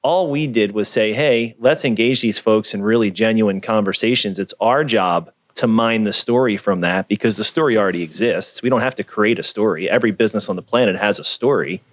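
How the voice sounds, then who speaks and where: A man with a fairly low voice, speaking with an energetic, emphatic style as he tells stories. The sound is dry and close. He is talking in a casual interview over a video call. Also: fairly quick, few pauses, no room sound. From Philadelphia area. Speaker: guest, video producer.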